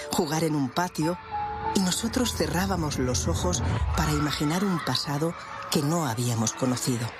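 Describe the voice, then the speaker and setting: An actress playing Gretel, a tender girl recalling a hungry childhood, in measured audio-drama narration. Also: sits low. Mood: dreamy. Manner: measured, literary storytelling. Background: music.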